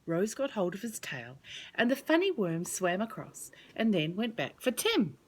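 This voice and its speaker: A woman reading a children's storybook aloud as storyteller, with expressive, measured delivery. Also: medium pitch.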